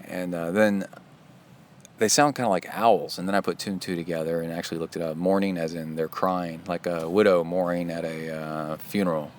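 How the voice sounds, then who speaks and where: A man's voice: low-pitched, fairly even intonation, brisk, a little hiss or hum. A middle-aged man hosting a podcast outdoors in a park, talking in a loose, chatty way with jokey asides. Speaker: podcast host and triathlon coach. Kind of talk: casual rambling podcast monologue.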